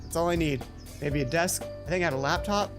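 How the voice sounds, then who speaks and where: A woman with a lowish voice plays through a story game, reading narration aloud. She keeps interrupting herself with playful, chatty asides. Game music plays clearly behind her. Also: brisk. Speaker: let's play streamer. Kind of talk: reading game script aloud with casual commentary. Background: video game music.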